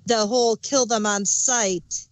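A woman's voice: fairly high pitch, fairly even intonation, unhurried. A woman chatting casually as a panelist on a review podcast. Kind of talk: casual chat.

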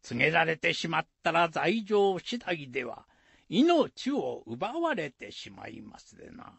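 An actor playing an elderly village elder in a radio folktale, husky and gravelly, admonishing in deliberate rustic phrasing. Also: male voice, on the high side, sing-song, fairly bright tone, no room sound, exaggerated voice. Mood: solemn, instructive. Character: elderly male village elder, instructive and folksy. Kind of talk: radio drama acting.

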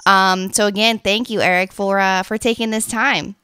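A woman's voice: medium pitch, fairly even intonation, moderate pace, bright, forceful tone, clean tone, no room sound, clean studio sound. An American woman hosting a podcast interview in a casual, effusive way.

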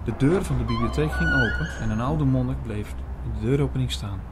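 A man playing an earnest priest, narrating an audio drama in a clear storytelling manner. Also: medium pitch, animated, brisk, loud music behind the voice, close to an everyday voice. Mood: earnest, watchful. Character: earnest male priest recounting his investigation.

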